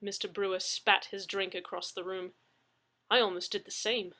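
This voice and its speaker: Bright-voiced woman reading a story aloud. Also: mid-range voice, fairly even intonation, normal speed. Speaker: story reader. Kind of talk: scripted first-person narration, audiobook reading.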